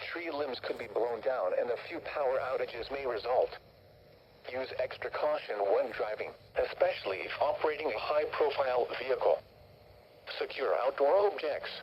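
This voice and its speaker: Muffled synthetic male weather radio announcer. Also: mid-range voice. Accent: American. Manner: formal, synthetic, stilted automated delivery. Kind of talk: scripted weather bulletin reading. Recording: dull, noisy weather radio broadcast.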